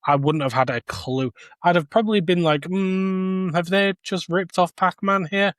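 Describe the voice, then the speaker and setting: Higher-pitched man chatting casually. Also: moderate pace, a bit bright, no room sound, clean studio sound. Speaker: podcast co-host. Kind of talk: casual chat.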